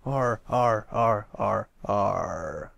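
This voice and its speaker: A man's voice: fairly low pitch, very slow, creaky, a bit bright, no room sound, voice pushed down, exaggerated voice. A man voicing a huge, wicked pirate captain pony in a storybook tale, with menacing pirate talk. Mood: gloating.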